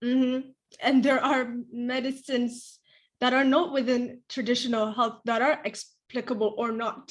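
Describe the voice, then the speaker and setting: A woman with a high, bright-sounding pitch joins a relaxed podcast discussion. She talks in an animated way and laughs easily between her points. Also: measured pace. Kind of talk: casual discussion.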